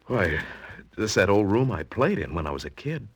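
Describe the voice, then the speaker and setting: A man's voice: lowish voice, very animated, normal speed, slightly rough, heavily acted. An actor playing an easygoing, skeptical man in his thirties, breezy and colloquial, in 1940s radio style. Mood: sleepy exasperation.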